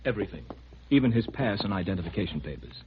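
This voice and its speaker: Man as brisk, guarded camp security officer, lowish official voice, noisy vintage radio drama. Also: animated, lightly acted. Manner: clipped, official, polite. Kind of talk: 1950s radio drama acting. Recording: noisy, dull vintage 1950s radio recording.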